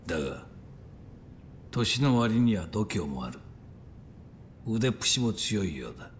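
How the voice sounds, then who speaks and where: An actor playing a composed middle-aged yakuza boss in a dramatic game scene, measured, grave and authoritative. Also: male voice, lowish voice, very animated, a little hiss or hum. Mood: stern, grave. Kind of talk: dramatic video game acting in Japanese. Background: music.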